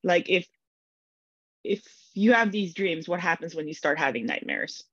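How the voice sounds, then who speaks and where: A woman's voice: lowish voice, expressive, moderate pace, a bit bright, no room sound, muffled recording. A woman from the Philippines, an author and guest, chatting playfully and giggling in a casual interview.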